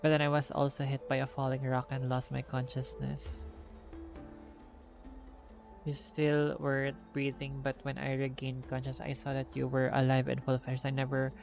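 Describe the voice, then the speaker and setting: A Filipino-accented woman streams a story game, reading the dialogue as she goes. Her voice sits low with a lot of gravelly fry, over faint game music. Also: moderate pace, darkish tone. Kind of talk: reading game dialogue aloud with live reactions.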